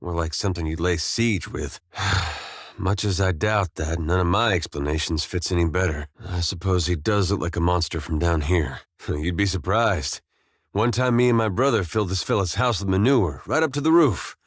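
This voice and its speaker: Low-voiced, folksy, wry farmer-soldier. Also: male voice, normal speed, no echo, clean studio sound. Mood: wry, easygoing. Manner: folksy, drawling, dryly understated. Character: laid-back, wry male farmer and veteran soldier, loyal companion. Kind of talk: video game character dialogue.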